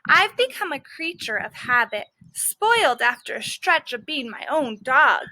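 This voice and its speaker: A woman's voice: high voice, big pitch swings, measured pace, bright, forceful tone, no echo, low-quality recording. A woman teacher reading a children's book aloud expressively, stressing key words and adding explanatory asides for young listeners.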